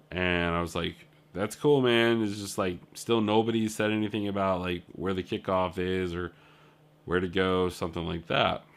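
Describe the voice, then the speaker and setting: A man's voice: lowish voice, creaky. A young man telling a rambling, casual story about his trip in a video diary, full of fillers and asides. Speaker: indie game developer.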